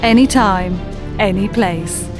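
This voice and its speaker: A woman's voice: mid-range voice, slow, over music, close to an everyday voice. An actress playing a loyal, earnest female companion to a time-traveller in an audio drama. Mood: weary, trusting.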